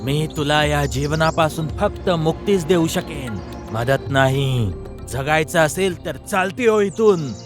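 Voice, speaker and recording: A man voicing a stern lion king in an animated fable, with a commanding manner. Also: a little high, unhurried, music in the background. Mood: haughty, merciless. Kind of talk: dubbed animated moral fable.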